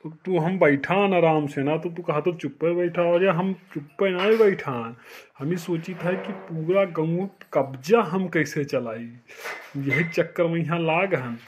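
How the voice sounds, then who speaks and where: A man plays a cocky, drunken cartoon villager with an Awadhi accent and a fairly high pitch. He sounds sulky and defiant, swaggering like a self-appointed village don. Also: unhurried, slight background noise, natural voice. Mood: sulky defiance. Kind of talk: comic cartoon dialogue.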